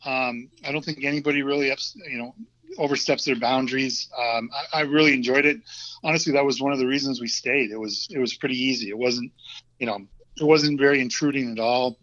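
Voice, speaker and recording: A man in his fifties with a Vermont accent talks easily as a podcast guest. He speaks at a middling pitch and often stops with ums and starts over. The sound is dull and a little lo-fi. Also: brisk. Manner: relaxed, hesitant, many ums and restarts. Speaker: guest, former hockey player. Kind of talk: casual interview chat. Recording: dull lo-fi audio.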